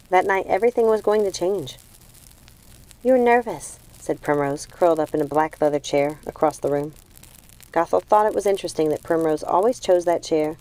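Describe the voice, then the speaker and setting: A woman's voice: sits low, warm tone, poor audio. A woman reading a novel aloud as scripted storybook narration. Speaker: audiobook reader.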